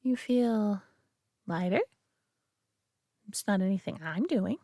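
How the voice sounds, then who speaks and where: A woman voicing a possessive, doting alien who coos over her pet human in an intimate roleplay audio drama, coddling and softly condescending. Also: medium pitch, slow, dry recording, clean studio sound. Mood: soothing, possessive.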